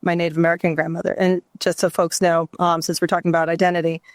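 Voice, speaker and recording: Woman, middle-aged, from new mexico, reflective interview guest, gentle pauses, dry recording. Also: lowish voice, little pitch movement, no echo. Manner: reflective, gentle, many ums. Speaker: guest, somatic therapist and author. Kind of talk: candid interview conversation.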